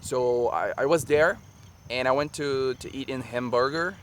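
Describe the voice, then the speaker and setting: A Brazilian man whose first language is Portuguese, speaking English with a Brazilian accent in a relaxed, halting way with many fillers, recorded outdoors in a park. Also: mid-range voice. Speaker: vlogger. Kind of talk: casual chat to camera. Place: sunny park by a lagoon, outdoors. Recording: faint park and traffic noise outdoors.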